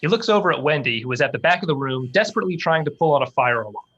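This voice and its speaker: A man's voice: a little high, animated, rapid-fire, fairly bright tone, muffled recording. A man narrating stage directions from a screenplay as scripted narration.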